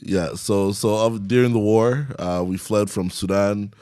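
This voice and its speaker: A man's voice: fairly low pitch, a little slow, dark tone, no echo, studio quality. A young man, talking casually as an interview guest and often chuckling as he speaks. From Canada. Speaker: stand-up comedian, interview guest.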